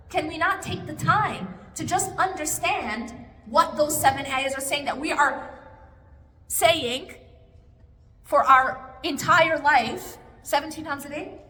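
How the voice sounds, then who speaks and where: A woman's voice: sits high, pushed and bright. A woman answering an audience question at a religious study circle, talking casually and coaxing her listeners with rhetorical questions. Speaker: speaker at an Islamic study circle. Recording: echoey hall with faint noise.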